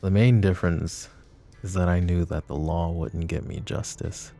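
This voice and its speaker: A man playing a calm, menacing, flirty mafia boss in an intimate ASMR roleplay, speaking deliberately in a gravelly murmur. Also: sits low, faint music behind. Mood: brooding, commanding. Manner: deliberate, coaxing, philosophizing.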